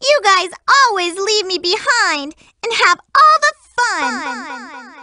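A woman voicing a cute, energetic young girl hedgehog for a video game, sweet but feisty and lovestruck, with a perky, emphatic girlish delivery. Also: extremely high pitch, takes it slowly, no room sound, studio quality, exaggerated voice.

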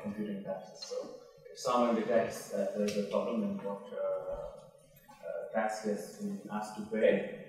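A man in the audience putting a question to a conference panel, speaking haltingly with hesitant pauses. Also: lowish voice, slight background noise. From Norway-based. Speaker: audience member asking a question. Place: large hotel conference room, heard from the floor. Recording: echoey hall, far from the microphone.

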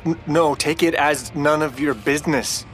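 An actor playing a jittery, paranoid, defensive middle-aged tinkerer in a video game, nervous and stammering, with an American accent. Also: male voice, on the high side, fairly even intonation, normal speed, close to an everyday voice. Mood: panicked, defensive. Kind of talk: video game cutscene acting. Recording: loud film-score music behind.

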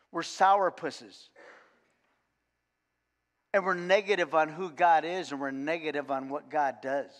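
An older man preaching a sermon in a folksy, animated way, full of repeated words and "okay?" asides. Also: high-pitched. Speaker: pastor. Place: large church sanctuary, indoors. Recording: long reverb of a church hall.

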